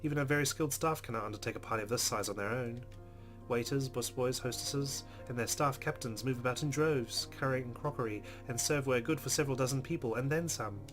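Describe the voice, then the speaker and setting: Guy doing a visual novel lets play, reads narration out loud, normal pitch, measured but expressive, guitar music clearly behind. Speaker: let's play host. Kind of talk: reading visual novel narration aloud with casual asides. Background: game music with plucked guitar.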